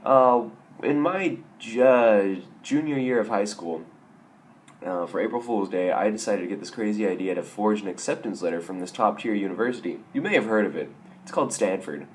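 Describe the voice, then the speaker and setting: A young man with a raspy, hoarse voice from a sore throat, telling stories in a casual, self-mocking vlog. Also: on the low side, moderate pace, a little hiss or hum. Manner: self-mocking, wry, rambling with restarts. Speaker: vlogger.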